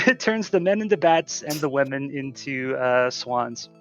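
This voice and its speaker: Halting man on a dull mic. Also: mid-range voice, animated. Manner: halting, hesitant delivery with repeated words. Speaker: speedrunner. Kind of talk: casual live gameplay commentary. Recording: dull lo-fi mic, faint music.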